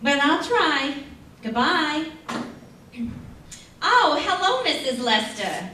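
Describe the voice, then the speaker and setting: A woman's voice: high voice, very animated, slow pace, sharp tone, full character voice. A woman acting a busy, polite salon receptionist in an old-time radio play on a stage. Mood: brisk, polite. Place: indoor theater stage. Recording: roomy stage, distant mic, faint noise.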